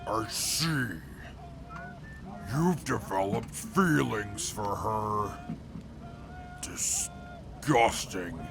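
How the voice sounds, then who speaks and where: Man as a gravelly, slow, pompous snob. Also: fairly low pitch, music in the background, exaggerated voice. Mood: disdainful. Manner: pompous, deadpan, formal. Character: haughty, stern, scheming male companion with formal speech. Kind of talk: post-apocalyptic audio drama acting.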